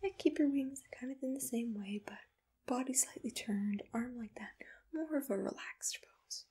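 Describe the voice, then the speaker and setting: A woman whispering breathily in a soft ASMR roleplay, with a gentle, reassuring, attentive manner, as if giving personal attention to a client. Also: on the high side, normal speed, slightly rough. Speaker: ASMR roleplay host as a monster matchmaker.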